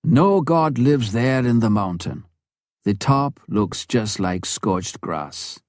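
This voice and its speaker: A man's voice: mid-range voice, lively intonation, slow pace, darkish tone, no echo, studio quality. A man voicing a wizened, solemn and earnest old village chief in a storybook narration. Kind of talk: storybook character quote in narration.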